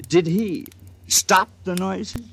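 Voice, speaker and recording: A man's voice: sits high, fairly even intonation, slow pace, a bit bright, slightly rough, exaggerated voice. An American man playing a scheming mechanical double posing as a loving husband, ingratiating and hesitant, in 1950s radio drama style. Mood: sly, evasive. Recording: hissy 1950s radio recording.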